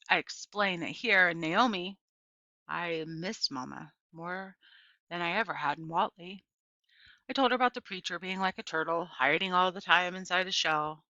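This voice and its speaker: Animated woman reading a children's story aloud. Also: medium pitch, no room sound, muffled recording. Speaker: reader of a children's novel. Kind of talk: expressive read-aloud storytelling. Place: small indoor room with bookshelves.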